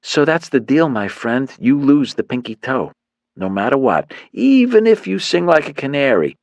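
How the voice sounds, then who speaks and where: A man's voice: medium pitch, big pitch swings, moderate pace, dry recording, pitched above the performer's own voice. A man voicing a swaggering, toothpick-chewing male thug leader who is dim but menacing, in a slangy, cocky manner.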